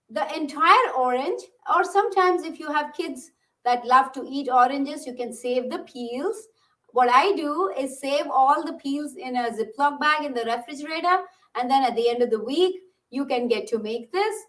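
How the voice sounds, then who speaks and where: Woman, high bright voice, friendly cooking instructor, distant mic. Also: normal speed. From Bangalore, India. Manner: friendly, explanatory, step-by-step. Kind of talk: casual cooking demonstration. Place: home kitchen.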